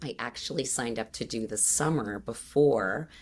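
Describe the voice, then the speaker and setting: Very low-voiced woman in her fifties. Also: normal speed, slight background noise. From Boston area. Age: 50s. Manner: warm storytelling, frequent fillers and restarts. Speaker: guest entrepreneur. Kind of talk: casual interview chat.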